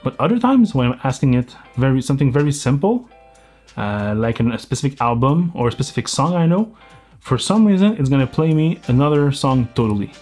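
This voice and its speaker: A man with a French Canadian accent talks through a gadget review in a relaxed, explanatory way. His pitch sits in an ordinary middle range, and he often trips and restarts mid-thought. Faint music plays under his voice. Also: a little fast. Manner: explanatory, thinking aloud with small restarts. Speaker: tech reviewer. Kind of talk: casual product review.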